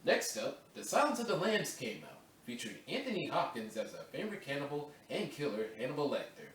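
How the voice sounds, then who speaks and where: A man's voice: fairly high pitch, sing-song, a little fast, fairly bright tone, a little hiss or hum. A man recording voice-over takes for a video essay. He chats casually between takes and restarts lines to correct his reading. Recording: roomy echo, distant mic, faint ambience.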